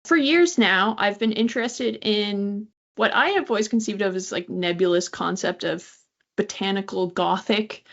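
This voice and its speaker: A woman's voice: medium pitch, moderate pace. A woman interviewing guests, talking in a reflective, conversational way. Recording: dull, lo-fi audio.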